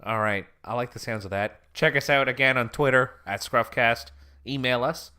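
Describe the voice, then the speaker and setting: A man's voice: medium pitch, moderate pace, pushed and bright, clean tone. A young man co-hosting a casual podcast, rambling with restarts and frequent laughs. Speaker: podcast co-host.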